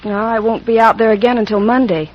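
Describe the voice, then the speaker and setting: A woman's voice: a little high, fairly even intonation, fast, lightly acted. A woman playing a concerned, patient clinic therapist in an old western radio drama, earnest and hesitant. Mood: worried. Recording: muffled vintage radio recording.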